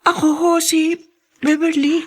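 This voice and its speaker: An actress playing an anxious, determined mother in a radio drama, speaking earnestly and deliberately. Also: very high voice, level tone. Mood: surprised recognition. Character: anxious, determined mother searching for her lost child. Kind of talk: Tagalog radio drama acting.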